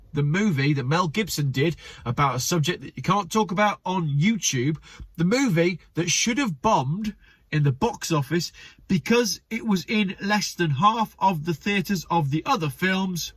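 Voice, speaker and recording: A man's voice: high-pitched, a bit bright, slightly rough, no echo. A man hosting his channel, reading memes aloud in casual commentary with wry, sarcastic asides and a slightly stuffy, nasal voice. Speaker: channel host.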